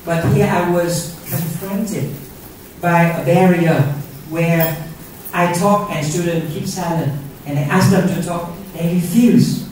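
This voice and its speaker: A middle-aged man, a native Vietnamese speaker, addresses a conference audience in an earnest way and often checks in with "yeah?". His voice sits a little high for a man. He is heard from a distance in a very echoey auditorium, with faint noise underneath. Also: a little mellow. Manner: earnest, asks the audience questions, frequent "yeah?" tags. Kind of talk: keynote talk to an audience. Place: university auditorium. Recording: roomy auditorium sound from far off.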